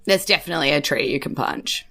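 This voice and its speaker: Woman game master, slightly low with vocal fry, loose and jokey tabletop storytelling. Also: fast, sharp tone. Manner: informal, jokey. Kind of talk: casual improvised narration of a tabletop game.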